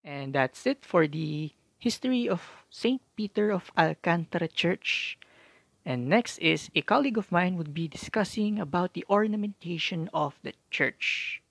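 A man's voice: high-pitched, lively intonation, measured pace, vocal fry, fairly bright tone, dry recording. A young man with a Filipino accent giving a scripted student presentation as narration. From Philippines. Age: young adult. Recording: music behind the narration. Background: background music.

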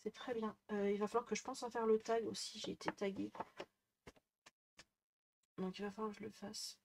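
A woman's voice: medium pitch, flat delivery, normal speed, noisy background. A woman in her thirties vlogging casually from her desk at home, rambling with lots of fillers and restarts. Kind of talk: casual chatty vlog. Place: bedroom desk, indoors. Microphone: headset mic.

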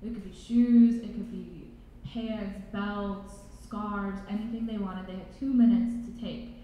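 A woman's voice: mid-range voice, steady tone, a little slow, warm tone. A young woman on a student panel, talking casually into a handheld mic in a large hall. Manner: casual, uses "like". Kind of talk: panel presentation. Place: large reverberant college hall. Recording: echoey hall, dull sound, faint noise.

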